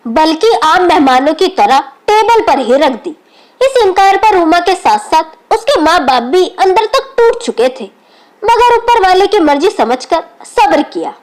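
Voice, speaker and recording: A woman reads a scripted, emotional moral story as its narrator. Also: very high voice.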